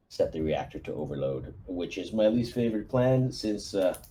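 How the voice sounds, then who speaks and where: A man with a very deep, dark voice lays out a plan as the commander in a tabletop roleplaying game. He thinks aloud and speaks haltingly. There is slight noise behind him. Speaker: player acting as squad commander.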